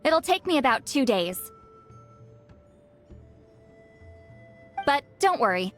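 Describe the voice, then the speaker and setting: A woman voicing a knowing, easygoing granny who tells spirit lore in an unhurried, explanatory way in a video game. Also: high-pitched, big pitch swings, faint music behind. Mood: explanatory, reassuring.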